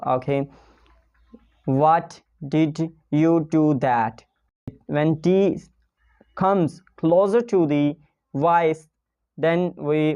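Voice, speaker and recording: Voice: medium pitch, dark tone, clear, resonant tone, lo-fi sound. A teacher with a Pakistani accent giving a casual pronunciation lesson in non-native English, repeating example sentences and checking in with "okay?".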